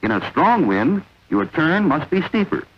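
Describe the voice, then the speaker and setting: Man, vintage training-film narration, slightly rough husky voice, animated intonation, measured didactic delivery. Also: on the high side. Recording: dull, lo-fi vintage film soundtrack.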